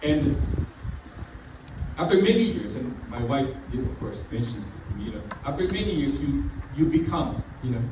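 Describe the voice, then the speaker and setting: A man's voice: mid-range voice, big pitch swings, moderate pace. A middle-aged man whose first language is not English, preaching a sermon as pastor and repeating phrases with frequent "you know" fillers. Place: church hall. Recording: very echoey hall, far from mic, background noise.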